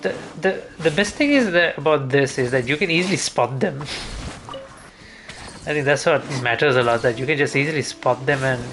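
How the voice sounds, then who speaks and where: A man's voice: mid-range voice, moderate pace, fairly bright tone, slight background noise. A man giving casual gameplay commentary as a streamer, musing and thinking aloud to himself. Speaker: game streamer. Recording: game music behind the voice.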